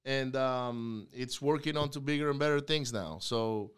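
A man's voice: medium pitch, normal speed, smooth. A man from Venezuela hosting a podcast interview, chatty and joking, with a lot of restarts. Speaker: podcast host. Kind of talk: casual interview chat.

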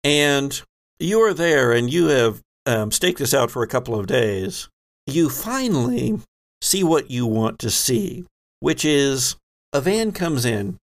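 A man's voice: medium pitch, big pitch swings, slow. A man acting as game master, narrating scenes and explaining rules in a conversational way. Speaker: game master and host of a tabletop role-playing show.

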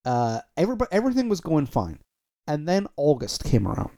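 A man's voice: fairly high pitch, huge pitch swings, brisk, warm tone. Chatty rambling male podcast host. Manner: rambling, self-deprecating, chatty. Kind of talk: casual podcast chat. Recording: compressed, dull audio from a bad cable.

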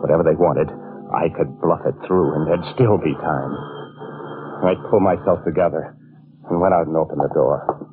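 Low-voiced guilty schemer in vintage radio. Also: male voice, fairly even intonation, normal speed. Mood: dread. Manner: stammering, hesitant under questioning. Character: guilty, scheming impostor man, nervously bluffing. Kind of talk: 1940s radio suspense acting, first-person narration. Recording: muffled vintage radio recording, music behind.

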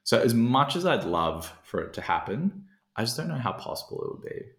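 A man's voice: mid-range voice, big pitch swings, fairly quick, a loud crowd. A man in casual conversation as a podcast co-host, reflective and thinking aloud with fillers. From Australia. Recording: roomy echo.

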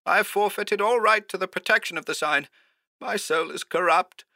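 A man voicing a terrified, despairing male horror writer in a high, strained, hoarse voice, as if calling by telephone in an audiobook. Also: animated, normal speed, pushed and bright, heavily acted.

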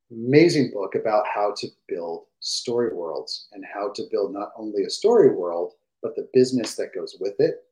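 A middle-aged man with a fairly deep voice, chatting enthusiastically as a podcast guest through a gaming headset, with fillers and restarts. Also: a little mellow, rough recording. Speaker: guest, game industry executive. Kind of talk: casual podcast chat.

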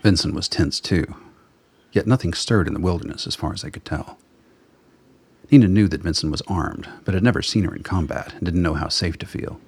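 A man's voice: sits low, a little fast, darkish tone, husky, no echo. A man narrating a scripted story for a radio play.

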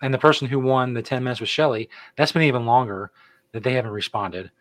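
A middle-aged man co-hosts a casual podcast in a relaxed, joking way, drifting from one announcement to the next. His voice sits at an ordinary pitch for a man. The sound is dry and close, with no room around it. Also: fast talker, no echo. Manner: laid-back, joking, rambling. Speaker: podcast co-host. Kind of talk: casual chat.

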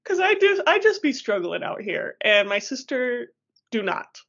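A woman's voice: on the high side, very animated, unhurried, gravelly, a bit bright, husky. A woman co-hosting a podcast in casual chat. She is playful and slangy, and laughs easily between lines. The audio is a little dull and lo-fi. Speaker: podcast co-host.

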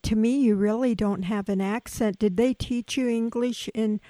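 A woman hosting a radio talk show, gentle and halting as she questions her guest. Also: a little high, steady tone, no echo. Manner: slow, gentle, halting with restarts. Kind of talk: casual radio interview.

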